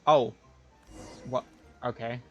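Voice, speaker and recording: A man's voice: medium pitch, steady tone, takes it slowly, darkish tone, dull audio, soft background music. A man giving casual, joking live commentary while streaming a video game.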